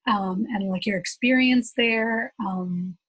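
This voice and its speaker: A woman's voice: medium pitch, takes it slowly, slightly rough, no room sound. A woman hosting an interview, affirming her guest and asking questions with many fillers. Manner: warm, affirming, many "mm-hmm" backchannels. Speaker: interview host.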